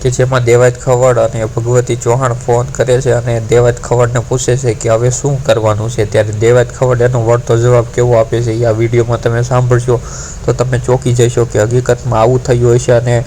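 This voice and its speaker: A man's voice: medium pitch, completely flat delivery, a little fast, dark tone, rough recording. A man presenting to viewers as a channel host. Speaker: channel host introducing a viral call recording. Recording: noticeable background noise.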